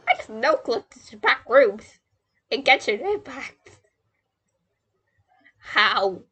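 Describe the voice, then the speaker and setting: A woman's voice: a little high, big pitch swings, takes it slowly, fairly bright tone, slightly rough. A woman doing casual live gameplay commentary, talking to herself in a playful, rambling way. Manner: playful, rambling self-talk. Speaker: game streamer.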